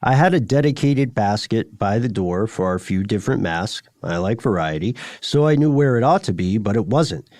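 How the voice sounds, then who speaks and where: A man co-hosting a podcast in a conversational way, with measured, deliberate phrasing, presenting and reading listener letters. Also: on the low side, lively intonation, a little mellow, dry recording, clean studio sound. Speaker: podcast co-host.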